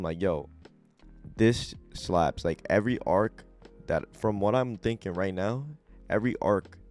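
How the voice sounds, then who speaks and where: A young man hosting a casual review video, talking in a relaxed, rambling way with many fillers, his voice a little raspy because he just woke up. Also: sits low. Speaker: anime and manga video host.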